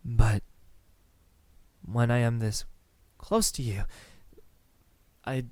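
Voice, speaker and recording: A man playing a courteous, lovestruck vampire in an intimate ASMR roleplay, speaking softly in formal words with hesitant pauses. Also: medium pitch, fairly even intonation, dry recording. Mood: hesitant, longing. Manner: hesitant, soft-spoken, formal wording with lingering pauses.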